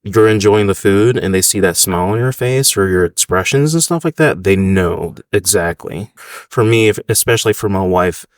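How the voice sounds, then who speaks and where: A man's voice: on the low side, expressive, moderate pace, no echo, studio quality. A man in his thirties from the United States, a restaurant owner chatting casually in an interview, rambling with fillers.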